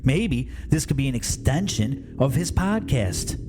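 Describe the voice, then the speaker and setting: A man hosting and telling a horror story in a measured, suspenseful style with dramatic pauses. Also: mid-range voice, big pitch swings. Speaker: host and storyteller. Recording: music behind the voice.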